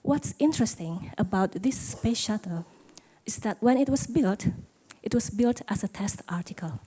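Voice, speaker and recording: Mellow-voiced woman giving a conference talk. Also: mid-range voice, expressive, normal speed, slightly rough, poor audio. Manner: self-deprecating, asks the audience questions. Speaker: conference speaker. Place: conference hall.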